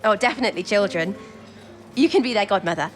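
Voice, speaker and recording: A woman's voice: medium pitch, fast, soft background music, close to an everyday voice. A woman playing an earnest, spirited young wartime codebreaker, speaking expressively and well-spoken in a period radio drama. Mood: bright, hopeful. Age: young adult.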